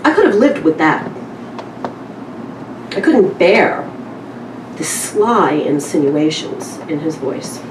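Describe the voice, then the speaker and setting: A lower-pitched woman addresses a live audience in the informal way of a small-press literary presenter. She is recorded from a distance in a roomy space, with clear noise on the recording. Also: sing-song, slow pace, long pauses. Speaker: publisher and editor presenting a reading. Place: live event room, away from the microphone.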